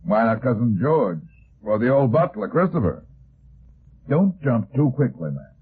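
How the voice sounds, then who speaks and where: An actor playing a cool, hard-boiled private detective in a 1950s radio drama, with terse, blunt questioning. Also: male voice, medium pitch, sing-song, unhurried, breathy edge, exaggerated voice. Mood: confident. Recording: muffled phone-like vintage radio recording.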